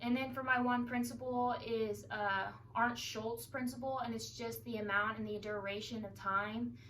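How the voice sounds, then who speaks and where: A young woman giving an informal instructional demonstration in a hesitant, halting way. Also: on the high side, steady tone, a bit bright. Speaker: student demonstrating a therapy technique. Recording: distant mic, clear background noise.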